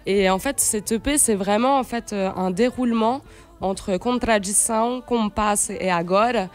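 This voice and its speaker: A woman's voice: mid-range voice, normal speed, faint music behind. Woman, casual and hesitant. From Switzerland, then Brazil as a teenager. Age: late 20s. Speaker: guest, singer-songwriter. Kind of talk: casual radio interview chat. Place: radio studio. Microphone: studio microphone on a stand, close.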